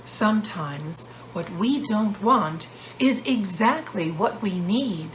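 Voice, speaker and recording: A woman voicing a wise, calm woman artist who gives measured advice in a children's story. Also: medium pitch, slight background noise, rough recording. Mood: wise, firm. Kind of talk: storybook character voice.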